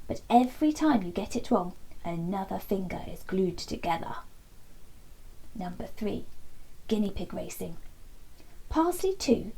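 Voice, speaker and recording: A woman storyteller with a medium-pitched voice that is a little mellow and breathy. She reads a children's chapter book expressively, leaving dramatic pauses and keeping an animated delivery. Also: poor audio. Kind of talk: expressive bedtime story narration.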